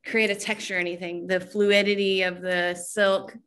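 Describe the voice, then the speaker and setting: A young woman designer on a video call from home, talking slowly and searching for words with frequent restarts. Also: mid-range voice, little pitch movement, a bit bright, smooth. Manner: slow, searching, with many restarts. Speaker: fashion designer. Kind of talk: relaxed video call talk. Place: home room with painted backdrop.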